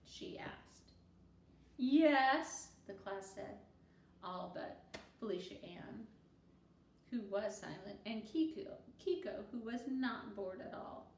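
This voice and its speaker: A woman reading a children's storybook aloud as expressive narration. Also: mid-range voice, takes it slowly. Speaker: read-aloud reader. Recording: roomy, distant, noisy home-style recording.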